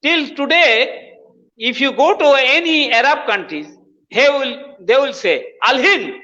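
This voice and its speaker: Male professor guest, unhurried and emphatic, bright voice, echoey muffled recording. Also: very high-pitched, expressive. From Bangladesh. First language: Bengali. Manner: emphatic, repeats phrases for stress. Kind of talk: explanatory interview talk. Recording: dull lo-fi audio with long reverb.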